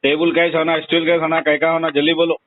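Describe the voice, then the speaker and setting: Man, Hyderabadi accent, slightly high pitch, blunt, insistent, casual talk, thin phone-line recording. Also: level tone, fast, no echo. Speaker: phone call recipient. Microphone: telephone. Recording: tinny narrowband phone call recording.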